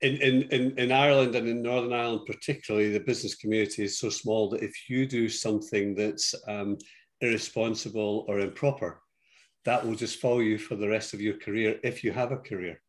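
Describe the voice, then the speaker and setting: A man's voice: on the low side, fairly even intonation, fairly quick. An older man with a Scottish accent, a guest chatting and telling stories over a video call from his home office. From Scotland. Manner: warm storytelling, frequent yes and laughs. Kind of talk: casual interview chat.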